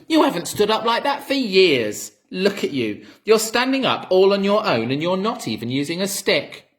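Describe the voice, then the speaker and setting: A man reading a children's story, voicing an anxious, excitable young boy who pleads and exclaims. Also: on the high side, normal speed, pitched above the performer's own voice. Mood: excited, pleading. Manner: eager, pleading.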